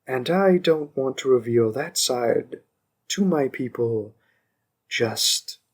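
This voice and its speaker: A man playing a smug, possessive young mafia boss in an audio roleplay, speaking deliberately with condescending politeness and calm menace. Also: medium pitch. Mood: insistent, polite. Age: young adult. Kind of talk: scripted audio roleplay addressed to one listener.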